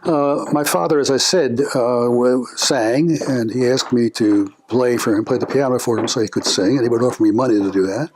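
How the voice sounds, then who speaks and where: An older man being interviewed about his family, speaking in a reflective, unhurried way with frequent pauses and filler words. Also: medium pitch, background noise. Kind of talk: family oral history interview.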